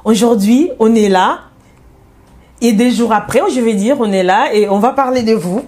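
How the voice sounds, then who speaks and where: A woman's voice: on the high side, normal speed, darkish tone. A woman hosting a casual faith interview show, talking in a chatty way with her guest.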